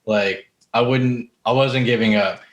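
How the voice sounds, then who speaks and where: A man's voice: mid-range voice, steady tone, a little slow. A man chatting informally as a podcast host, telling personal stories with casual swearing. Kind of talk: casual chat with personal anecdotes. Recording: roomy, echoey room sound.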